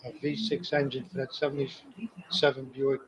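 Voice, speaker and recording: A middle-aged man dictating plain, deliberate memos to himself, pausing between phrases, on an old home cassette tape. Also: mid-range voice, level tone, muffled recording, slight background noise. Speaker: car business owner recording spoken notes. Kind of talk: spoken memo to himself.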